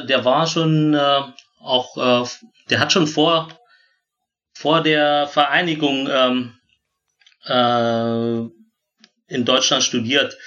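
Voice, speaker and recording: Bright-voiced man in his thirties, halting delivery. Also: medium pitch, lo-fi sound. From born in Vietnam, grew up in Germany. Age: 30s. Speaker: interview guest. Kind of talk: personal storytelling in a conversational interview.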